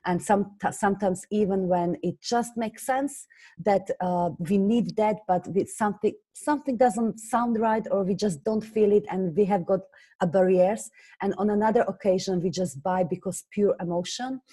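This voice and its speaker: A woman with a Slovak accent, a guest business coach talking earnestly in an interview, often pausing on fillers. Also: medium pitch, mellow, slightly rough. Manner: earnest, hesitant with many fillers. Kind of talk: conversational interview.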